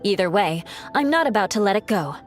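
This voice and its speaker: Lady doing haughty noble warrior woman from a fantasy game, mid pitch, swoopy and formal, faint music. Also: expressive, a little fast, natural voice. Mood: wry, proud. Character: proud, haughty, self-reliant young noblewoman warrior. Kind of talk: fantasy video game dialogue.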